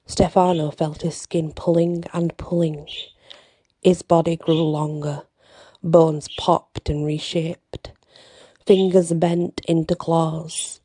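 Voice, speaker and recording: A woman reading an ASMR bedtime fantasy story with deliberate, dramatic phrasing and short pauses, close to the mic. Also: deep voice, level tone, dark tone, no room sound.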